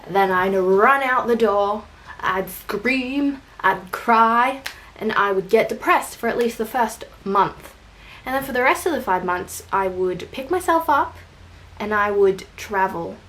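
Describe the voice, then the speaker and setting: A woman's voice: mid-range voice, unhurried, fairly bright tone, a little hiss or hum, low-quality recording. A young woman with an Australian accent, chatting casually and rambling through questions for her vlog, with self-deprecating jokes. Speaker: vlogger. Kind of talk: casual tag video answering questions.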